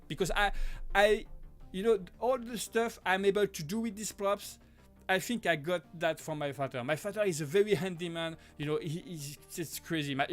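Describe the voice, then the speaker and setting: A man with a French accent hosts a casual live stream, his voice sitting high for a man. He talks in halting bursts, restarting and correcting himself as he answers viewers. Faint music plays behind him. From Switzerland. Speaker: live-stream host, prop maker.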